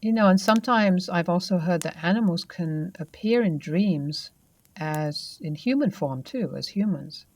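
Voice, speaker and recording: A woman host reading a first-person paranormal story aloud and then chatting about it in a calm, measured way. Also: lowish voice. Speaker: host and narrator.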